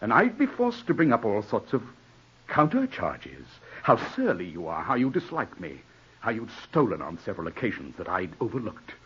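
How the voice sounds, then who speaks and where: An imperious gentleman, dramatic swoops. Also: lowish voice, wildly swooping pitch, normal speed, breathy edge, full character voice. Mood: cold, threatening. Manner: imperious, theatrical, scornful. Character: proud, ruthless gentleman clinging to respectability and power. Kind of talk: 1940s radio drama acting. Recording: dull old 1940s radio broadcast.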